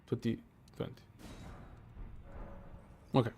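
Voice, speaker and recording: A man with a strong Italian accent, a streamer musing out loud over live gameplay with many fillers and restarts. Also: slow, soft background music. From Italy.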